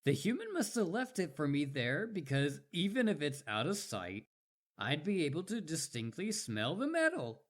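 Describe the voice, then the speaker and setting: An eager young wolf boy, acted by a man, speaks straight to the listener in a roleplay drama. His pitch swings up and down with great animation. He is smitten and full of himself, rambling on and stammering whenever he gets flustered. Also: big pitch swings, normal speed. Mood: smug, sweet.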